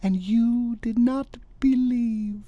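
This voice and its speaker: A man voicing an earnest, self-assured spiritualist wife in a storybook reading, breathy, insistent and knowing. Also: very high-pitched, fairly even intonation, slow pace, warm tone, no room sound, highs cut off, voice pushed up. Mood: hushed triumph.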